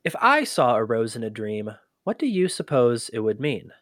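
An actor playing an inquisitive human archivist in an audio drama, with a conversational, halting, often exasperated manner. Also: medium pitch, sing-song, a bit bright, dry recording, studio quality. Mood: thoughtful, explaining. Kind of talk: scripted audio drama acting.

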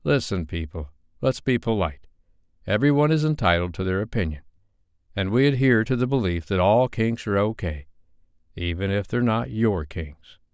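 A man voicing a diplomatic, polite male moderator in an audiobook, placating and measured. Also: lowish voice, lively intonation, a little mellow, clear, resonant tone, dry recording, clean studio sound.

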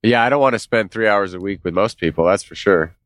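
A man's voice: lowish voice, fast talker, a bit bright, no room sound. A man co-hosting a podcast, talking in a laid-back, rambling way with a stuffy, nasal voice from a cold. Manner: laid-back, rambling, swears casually, laughs often. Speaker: podcast co-host. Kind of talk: casual chat.

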